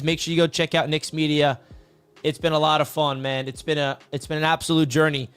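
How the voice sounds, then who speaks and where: Hoarse, hyped man hosting a sports stream. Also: on the high side. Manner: hyped, casual, repeats "man". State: raspy voice from yelling. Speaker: sports podcast host. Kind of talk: live sports talk stream. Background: soft background music.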